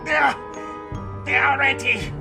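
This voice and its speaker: An actor playing a nervous, servile mad scientist in a robot body, hesitant and deferential, in an audio drama. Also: male voice, very high voice, steady tone, extremely slow, bright, forceful tone, faint music behind, full character voice. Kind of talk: cinematic audio drama acting.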